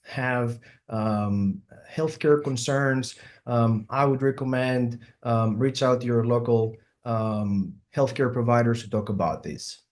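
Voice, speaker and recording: A middle-aged man from Greece with a Greek accent, a surgeon giving an online symposium talk and often pausing with ums and uhs. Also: medium pitch, darkish tone. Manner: hesitant, many ums and uhs. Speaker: plastic surgeon presenting.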